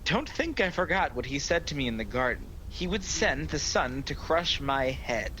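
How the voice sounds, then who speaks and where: An actor playing a sly, proud devil who taunts and tempts in a sardonic, theatrical, persuasive manner in a radio play. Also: male voice, on the high side, very animated, moderate pace, sharp tone. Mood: boastful, challenging. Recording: noisy home video-call recording.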